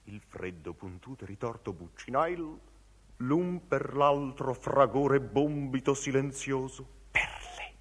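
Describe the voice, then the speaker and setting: A man's voice: medium pitch, takes it slowly. A man performing a literary text as dramatic recitation, declamatory, stretching from murmurs to cries. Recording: long reverberant hall sound.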